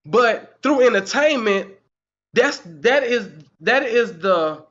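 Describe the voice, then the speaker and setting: High-voiced man in his forties ranting. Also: sharp tone, highs cut off. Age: 40s. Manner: heated, repetitive, rhetorical questions. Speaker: channel host. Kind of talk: livestream commentary, reading the chat.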